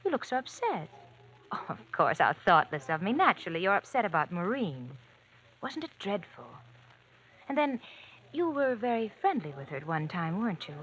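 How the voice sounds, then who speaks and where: An actress playing a rich young woman in an old radio drama, coy, sugary and quietly scheming. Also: mid-range voice, big pitch swings, normal speed, slightly rough. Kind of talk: 1940s radio acting. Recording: dull old radio recording, faint hiss.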